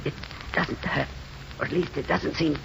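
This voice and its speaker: An actor playing a wistful, fatalistic drifter in a 1940s radio drama, speaking with earnest, theatrical eloquence. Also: male voice, fairly high pitch, steady tone, moderate pace, creaky, a bit bright, slight background noise. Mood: weak, fading. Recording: muffled 1940s radio recording.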